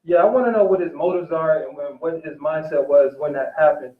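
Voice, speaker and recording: A man in a casual discussion, agreeable, choosing his words in a measured, somewhat hesitant way. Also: fairly high pitch. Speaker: teacher, talk show guest or co-host. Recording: roomy, dull, distant-mic recording.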